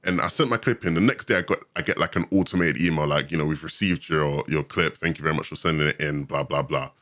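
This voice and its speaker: Deep-voiced, fast-talking young man. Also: no echo, studio quality. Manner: animated, excitable storyteller. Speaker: podcast guest. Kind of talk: casual storytelling chat.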